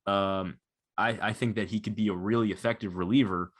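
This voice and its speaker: A man's voice: fairly low pitch, steady tone, brisk. A young man in his twenties talking through sports analysis casually as a podcast host. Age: 20s.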